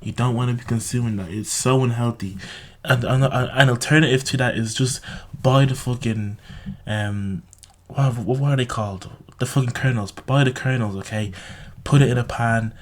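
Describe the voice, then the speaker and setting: A man with a slightly raspy, sore-throated voice giving casual, unscripted advice to viewers, bluntly, with heavy swearing, repetition and frequent "okay?" tags. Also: medium pitch, moderate pace. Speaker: self-improvement video host.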